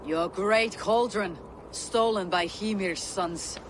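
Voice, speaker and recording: A woman voicing a defiant, cunning Norse god in a fantasy video game, grave and measured. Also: medium pitch, a little hiss or hum, natural voice. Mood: proud, courtly.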